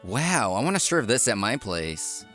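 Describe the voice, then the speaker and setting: A man's voice: fairly low pitch, big pitch swings, measured pace, a bit bright. A man acts out a hardworking young restaurateur in a manga drama. His delivery moves expressively between sincere narration and easily flustered dialogue. Music plays behind him. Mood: earnest, reflective. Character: earnest, work-obsessed young male restaurant owner, easily flustered. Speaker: narrator and lead character.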